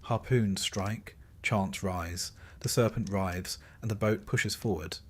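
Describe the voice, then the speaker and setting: A man with a somewhat low voice narrates a long-form history sleep story. He reads from a script as a storyteller would, with measured delivery and careful phrasing.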